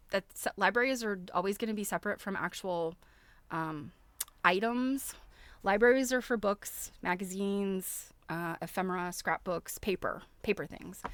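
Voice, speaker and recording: A woman talks through what she is browsing in an informal livestream style. Her voice sits at an average pitch, and she is chatty and enthusiastic, often thinking out loud. The sound is dry, with very little room in it. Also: gravelly, dry recording. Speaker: host of a craft livestream. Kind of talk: casual livestream walkthrough of websites.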